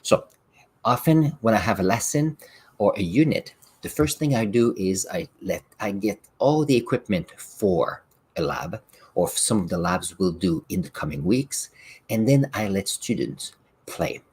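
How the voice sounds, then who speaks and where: Low, husky-voiced man hosting a podcast. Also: lively intonation, measured pace, darkish tone. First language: French. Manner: reflective, explains with examples, occasional restarts. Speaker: podcast host and science teacher. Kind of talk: solo podcast talk.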